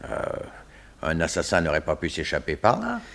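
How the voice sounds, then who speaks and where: An actor playing a nervous, officious male coroner in a radio serial, hesitant and excitable in his speech. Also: extremely low pitch, normal speed, heavily acted. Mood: puzzled, theorizing. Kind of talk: French detective radio serial acting.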